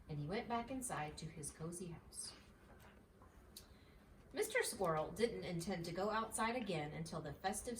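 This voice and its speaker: A woman reads a children's story aloud in an expressive, lively storytelling style. Her pitch moves around freely. The recording is roomy and a bit distant, with faint noise. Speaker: mother reading a storybook aloud.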